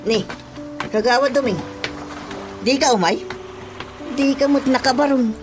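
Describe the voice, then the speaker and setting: A man's voice: extremely high pitch, measured pace, over music. A man with an Ilocano accent playing a chatty colleague who coaxes and teases in a radio comedy drama. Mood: coaxing. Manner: coaxing, teasing. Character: chatty, cajoling village colleague of the councilmen.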